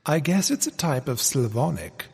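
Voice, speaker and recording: Husky, pompous curator in a hall. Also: male voice, on the high side, huge pitch swings, normal speed, darkish tone, exaggerated voice. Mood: matter-of-fact. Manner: pompous, boastful. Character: pompous, self-important bigoted museum curator, a man. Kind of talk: comedic audio drama acting. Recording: reverberant hall.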